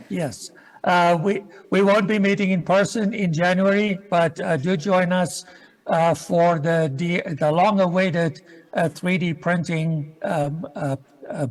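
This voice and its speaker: A man hosting an event, making unscripted closing announcements with a halting, hesitant delivery. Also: sits high, steady tone. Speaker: event host closing the session.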